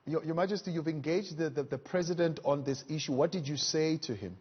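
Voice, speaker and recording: A man's voice: a little high, brisk, slightly rough, dull audio. A man interviewing a guest as a live news anchor.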